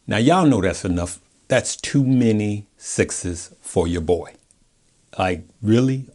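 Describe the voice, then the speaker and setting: A man's voice: on the low side, darkish tone. An American man talking casually and informally to his viewers as a stock video host, in a chatty, colloquial way. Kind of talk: casual chat, giving stock commentary from notes.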